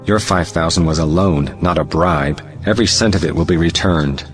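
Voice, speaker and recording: Man acting a deep-voiced, righteous clergyman. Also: moderate pace, soft background music, close to an everyday voice. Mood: stern warning. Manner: solemn, moralizing. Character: earnest, righteous clergyman, solemn and principled. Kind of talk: audio play acting.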